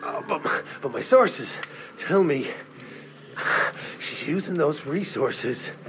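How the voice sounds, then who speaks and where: A man voicing a jittery, talkative, foul-mouthed vampire informant in a game cutscene, halting and agitated, with a creaky voice. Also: fairly high pitch, animated, a little hiss or hum. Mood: secretive. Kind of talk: video game cutscene acting.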